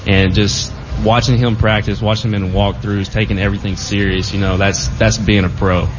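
Laid-back young man, halting, lo-fi sound. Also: lowish voice, flat delivery, background noise. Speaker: football player guest. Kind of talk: casual interview chat. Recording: dull lo-fi audio.

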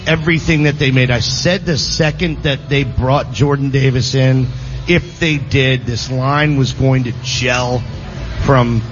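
A man's voice: medium pitch, steady tone, unhurried. A man hosting a sports talk show, talking casually with many restarts and fillers. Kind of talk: casual sports radio chat. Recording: slightly muffled broadcast audio. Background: music in the background.

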